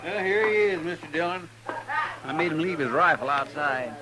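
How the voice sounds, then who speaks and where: An actor playing a folksy, complaining deputy sidekick with a rural American twang in a 1950s Western radio drama. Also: male voice, on the high side, normal speed, a crowd in the background, lightly acted. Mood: dutiful. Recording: noisy old radio drama recording.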